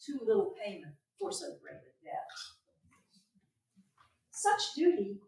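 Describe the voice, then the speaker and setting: A woman playing an earnest, admonishing wife, declaiming Shakespearean verse with measured emphasis in a staged reading. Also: mid-range voice, wildly swooping pitch, dark tone, full character voice. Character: Katherina, tamed wife giving an earnest admonishing speech. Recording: very echoey room, far from the mic.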